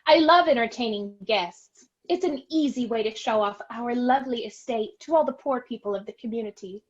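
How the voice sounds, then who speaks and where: An actress playing a snobbish, condescending wealthy hostess in a stagey comedy play. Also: female voice, fairly high pitch, brisk, lo-fi sound, natural voice. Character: snobbish rich lady, condescending estate hostess.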